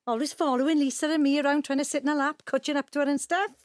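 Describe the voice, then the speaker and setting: An actress playing a spirited, teasing but guarded middle-aged daughter with a Welsh accent in a naturalistic audio drama. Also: female voice, sits high, steady tone, racing, no echo, clean studio sound, lightly acted.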